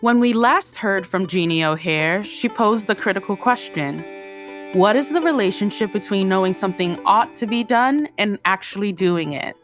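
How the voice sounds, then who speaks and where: A woman's voice: medium pitch, lively intonation, normal speed, fairly bright tone. A woman reading scripted linking narration as the host of an audio discussion. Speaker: podcast host. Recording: music bed under the narration.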